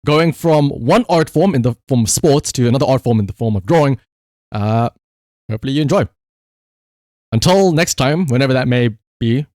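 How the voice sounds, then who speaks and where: A man's voice: mid-range voice, expressive, normal speed, a little mellow, dry recording, studio quality. A man livestreamer chatting casually and rambling to his viewers, swearing freely with playful exasperation. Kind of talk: casual chat to stream viewers.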